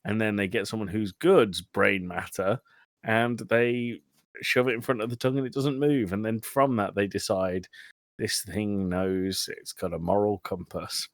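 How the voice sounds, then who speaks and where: A man's voice: on the low side, steady tone, unhurried, dry recording, studio quality. A man hosting a casual film review chat in a blunt, sweary, exasperated way. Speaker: podcast host.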